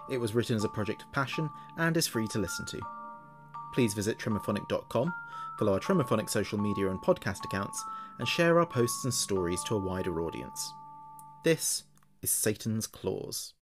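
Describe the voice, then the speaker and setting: A man with a fairly low voice reads a scripted horror tale as a podcast narrator. His delivery is measured and deliberate, in the manner of a storyteller. Music plays behind his voice. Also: lively intonation.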